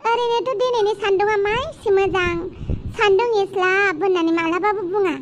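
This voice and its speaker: A woman's voice: very high-pitched, moderate pace, slight background noise. A woman voicing a proud, touchy sun queen in a cartoon, boastful and complaining. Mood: aggrieved. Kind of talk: cartoon dialogue.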